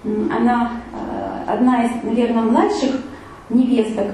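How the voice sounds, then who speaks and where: A woman recounts her trip to a live audience in a loose, rambling way, with many fillers and restarts. Her voice is mellow and fairly high. She sounds set back from the mic in a very echoey room, with a faint hiss behind her. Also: flat delivery, a little slow, distant mic. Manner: chatty, full of fillers and restarts. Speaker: speaker giving a travel talk with photos. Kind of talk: casual spoken travel story to an audience.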